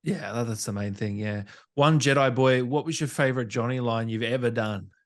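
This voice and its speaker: A man's voice: mid-range voice, fairly quick. A man hosting a casual interview, putting questions to his guest in a chatty way. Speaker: interview host reading fan questions.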